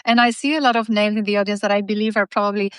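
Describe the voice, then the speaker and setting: A woman's voice: mid-range voice, steady tone, rapid-fire, clean tone, no echo, studio quality. A woman whose first language is Spanish, answering an interview question fluently and articulately over a video call. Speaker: guest author and speaker.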